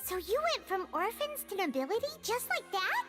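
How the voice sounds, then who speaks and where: A tiny floating fairy girl from an anime game, with a squeaky childlike voice. She is very high and swoops lively through her words, unhurried but excitable, with music underneath. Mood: curious. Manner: exclamatory, inquisitive.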